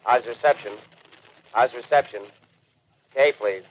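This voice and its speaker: An actor playing a forlorn radio operator in a 1930s radio drama, calling out deliberately and repetitively through a radio filter. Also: male voice, mid-range voice. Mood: anxious calling. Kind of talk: 1930s radio drama acting, repeated call signs. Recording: tinny, narrow-band 1930s radio transmission.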